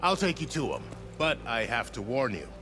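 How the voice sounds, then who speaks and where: A man's voice: medium pitch, sing-song, normal speed, over music, close to an everyday voice. A man playing a genial, wry army officer in a video game, courteous and fond of telling a tale. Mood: wry, cautioning.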